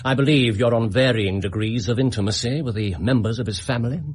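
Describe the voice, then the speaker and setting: An actor in a British radio drama playing a shrewd, commanding Victorian detective, precise and dryly ironic, with a Received Pronunciation English accent. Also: male voice, medium pitch, brisk, breathy edge, close to an everyday voice. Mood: dry, pointed.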